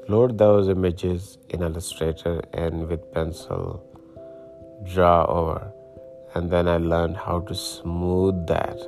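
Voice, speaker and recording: Slow gravelly deep-voiced man from Pakistan. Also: mellow. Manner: slow, hesitant, many fillers and restarts. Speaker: hobbyist sharing his t-shirt printing journey. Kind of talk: casual personal story.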